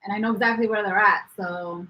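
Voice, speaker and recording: A woman's voice: medium pitch, fairly even intonation, a little fast, bright, forceful tone, poor audio. Chatty woman co-hosting a podcast. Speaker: podcast co-host. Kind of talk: casual podcast chat.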